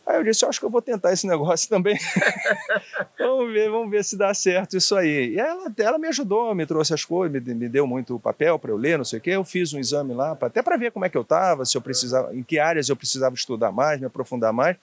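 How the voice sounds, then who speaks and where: A man in his sixties from Bahia with a faded Bahian accent, telling anecdotes in a relaxed, chatty interview and laughing often. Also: fairly high pitch, fast, no room sound. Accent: faded Bahian Brazilian Portuguese. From Bahia, Brazil. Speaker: guest, former diplomat.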